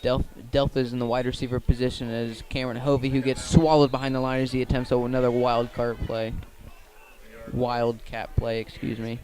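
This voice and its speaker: A man with an American accent calls a football game live for radio. His pitch stays fairly flat as he follows the action. He is a little halting, repeating and correcting himself as he goes. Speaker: play-by-play commentator. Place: outdoors at a football field.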